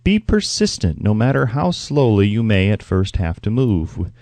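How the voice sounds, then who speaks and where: A man reading a self-help audiobook in a measured, deliberate, instructive way. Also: lowish voice, big pitch swings, darkish tone, no room sound. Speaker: audiobook narrator. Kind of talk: scripted narration of a self-help book.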